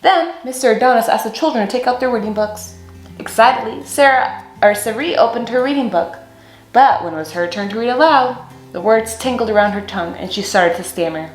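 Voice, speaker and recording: A young woman reading a children's picture book aloud as a storyteller, with deliberate, expressive phrasing in a small room. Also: a little high. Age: young adult. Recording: roomy echo, faint music underneath.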